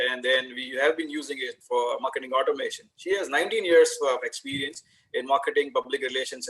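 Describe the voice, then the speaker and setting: A male conference host speaks in a medium pitch. He introduces a speaker in straightforward, spoken event style. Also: a bit bright, poor audio.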